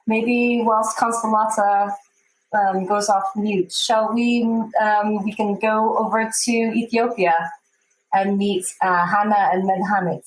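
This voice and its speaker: A woman's voice: mid-range voice, fairly even intonation, slow, roomy sound, poor audio. Encouraging woman moderating a video panel. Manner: encouraging, with frequent "um" and gentle prompts. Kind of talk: hosting an online panel. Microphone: video call.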